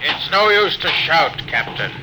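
An actor playing an alien agent posing as a ship's lieutenant in a classic radio science fiction drama. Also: male voice, a little high, lively intonation, a little slow. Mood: calm, menacing. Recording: very noisy old radio drama recording.

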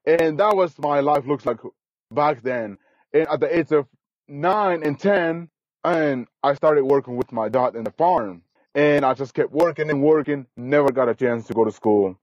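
Earnest halting man from Guatemala. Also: mid-range voice, a little mellow, no room sound. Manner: earnest, repetitive, halting phrasing. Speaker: guest. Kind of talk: casual interview storytelling. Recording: dry, dull lo-fi sound.

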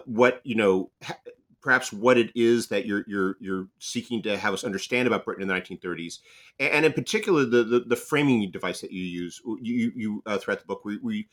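Male interviewer, fairly low voice, stumbling but curious questions. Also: fairly bright tone. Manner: halting questions, repeats words. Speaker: podcast host. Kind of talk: podcast interview.

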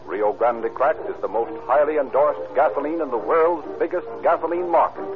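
A man announcing in a formal, emphatic 1930s radio style, delivering scripted narration and sponsor pitches. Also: normal speed, music in the background. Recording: muffled, scratchy 1930s radio recording.

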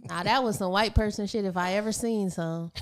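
A woman's voice: medium pitch, a little fast. An American woman co-hosting a podcast, chatting casually in an opinionated, slangy way. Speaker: podcast co-host. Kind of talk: casual chat.

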